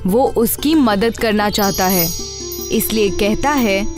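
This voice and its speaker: A woman narrating a scripted animated tale as a storyteller. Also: mid-range voice, slow, music in the background.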